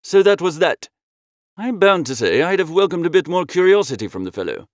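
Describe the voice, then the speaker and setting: A man narrating a comic first-person audiobook in a breezy, theatrical, chatty gentlemanly style. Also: fairly high pitch, very animated, brisk, no room sound, studio quality.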